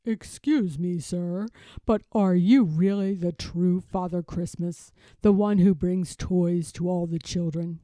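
A woman's voice: on the low side, fairly even intonation, takes it slowly, dark tone, no room sound, pitched below the performer's own voice. A woman voicing a courteous, earnest storybook elephant, speaking with emphatic, wide-eyed hopefulness. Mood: polite, hopeful. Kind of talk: children's storybook character voice.

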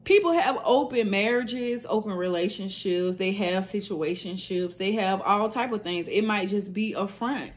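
A woman's voice: mid-range voice, moderate pace, few pauses. A woman talks candidly to her phone camera. Her chat is loose and slangy, full of fillers. She is in a small indoor room with the phone held close. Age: 20s. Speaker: nail business owner and vlogger. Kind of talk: casual vlog chat to camera.

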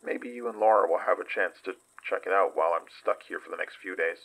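Weary male investigator, low pitch, rough husky voice, hesitant horror-drama storytelling. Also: fairly bright tone, heavily acted, low-quality recording. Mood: weary, guarded. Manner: halting, hesitant recounting. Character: weary male investigator recounting a dangerous excursion. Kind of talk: horror audio drama acting.

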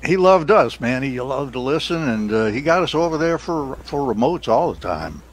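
A man's voice: medium pitch, very animated, moderate pace. An older American man hosting a casual chat, reminiscing in a rambling, chuckly way into a headset mic on a video call. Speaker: radio show host. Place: indoor home studio on a video call. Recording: noisy home video-call audio.

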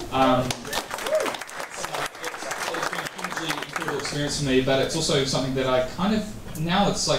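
A man's voice: medium pitch, a little hiss or hum. A man in his thirties from New Zealand, answering interview questions casually at a meetup and rambling with many fillers and restarts. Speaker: guest speaker. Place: event venue. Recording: echoey room from far off.

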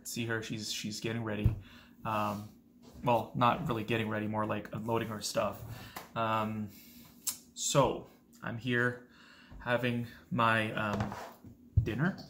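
A man's voice: mid-range voice, a bit bright, a little hiss or hum. A young man chatting casually and informally to the camera as a travel vlogger. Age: young adult. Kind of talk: casual vlog chat to camera. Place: living room, moving car, hotel room.

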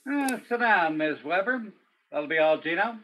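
A man playing a brooding, world-weary Broadway police detective in a radio play, with a measured, pensive, dramatic delivery. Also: high voice, animated, background noise. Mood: courteous, probing. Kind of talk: radio play acting with poetic noir narration. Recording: dull, muffled lo-fi audio.

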